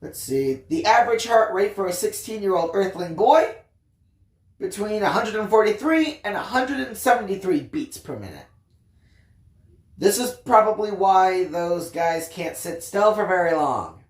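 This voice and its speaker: A storyteller reading a first-person tale expressively, with theatrical emphasis and drawn-out phrases. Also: mid-range voice, lively intonation, echoey room. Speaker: audiobook reader, story time host.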